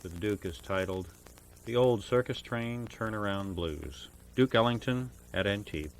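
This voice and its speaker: A man working as a radio DJ, introducing jazz records in a measured, formal announcing style with careful enunciation of names and dates. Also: fairly low pitch, a little hiss or hum, low-quality recording. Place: radio studio. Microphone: broadcast studio microphone.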